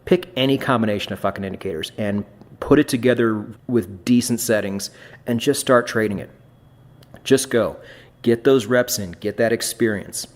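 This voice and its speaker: A man's voice: fairly low pitch, moderate pace. A man hosting a trading podcast, talking in a blunt, emphatic way with casual swearing. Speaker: podcast host.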